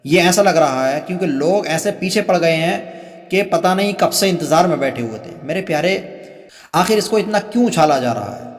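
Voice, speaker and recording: A man's voice: a little high, animated, fairly quick. A man giving earnest commentary to viewers as a religious channel host, pleading and repeating himself for emphasis. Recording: long reverberant echo on the voice.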